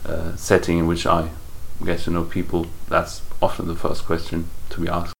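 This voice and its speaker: Gravelly deep monotone man. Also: normal speed, slight background noise, low-quality recording. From Berlin, Germany. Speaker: interviewee.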